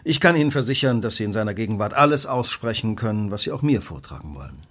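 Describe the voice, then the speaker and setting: An actor playing a cool, analytical Victorian gentleman detective in a radio play, precise and probing as he questions. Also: fairly low pitch, animated, fast, a little hiss or hum. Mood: courteous, assured.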